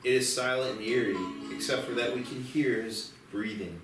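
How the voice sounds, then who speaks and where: A man's voice: mid-range voice, fairly even intonation, moderate pace. A man reading screenplay stage directions as the narrator of a table read. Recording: very echoey, distant mic, music behind. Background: music.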